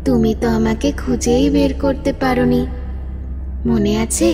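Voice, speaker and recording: A woman voicing the softly reproachful ghost of a lost little girl in a horror cartoon. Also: fairly high pitch, fairly even intonation, a little slow, lightly acted. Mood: eerie reproach. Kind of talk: Bengali horror cartoon acting. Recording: long hall reverb, music bed.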